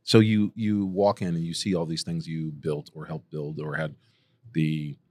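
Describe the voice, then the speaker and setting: A man's voice: rumbling low, little pitch movement, normal speed, a little mellow. An American man hosting a casual conversational podcast interview, probing with follow-up questions.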